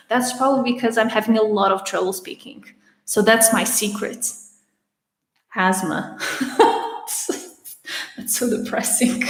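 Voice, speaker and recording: A woman in her twenties with a raspy voice, hosting a casual Q&A livestream and chatting through viewer questions with a few restarts, speaking with some strain. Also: mid-range voice. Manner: chatty, with frequent restarts and laughter. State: having trouble speaking. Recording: roomy echo with long reverb.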